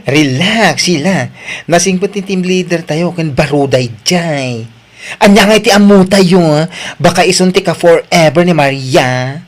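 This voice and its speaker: A man's voice: fairly high pitch, a little slow, lightly acted. A man playing an easygoing, joking co-worker in an Ilocano radio drama. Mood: teasing, relaxed. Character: easygoing, joking male co-worker fond of drinking.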